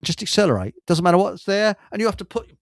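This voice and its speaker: A man's voice: high-pitched, big pitch swings, quick pace, vocal fry, no echo, studio quality. An older man, chatting casually as a radio host and chuckling through rambling anecdotes. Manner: jovial, chuckles through his stories.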